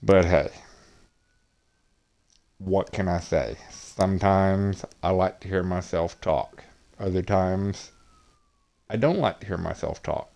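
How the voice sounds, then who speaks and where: A man with a low voice and a very slow, deliberate pace narrates his gameplay stream. He sounds monotonous and hesitant, with frequent pauses and filler words. His voice comes through clean and smooth. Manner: monotonous, hesitant with many ums. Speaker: gaming streamer. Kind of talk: casual game commentary.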